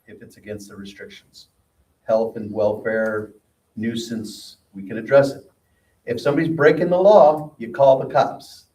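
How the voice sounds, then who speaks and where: A man's voice: mid-range voice, normal speed, dark tone. Plainspoken man in a roomy meeting hall. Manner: plainspoken, reassuring, gives practical advice. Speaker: association board member answering residents. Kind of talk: community meeting discussion. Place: meeting room. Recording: roomy hall audio from a distant mic.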